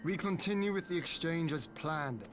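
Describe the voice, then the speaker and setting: An actor playing a guarded young lord in a game cutscene, speaking formally and tersely. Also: male voice, a little high, moderate pace, a bit bright, soft background music, lightly acted. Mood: resolute.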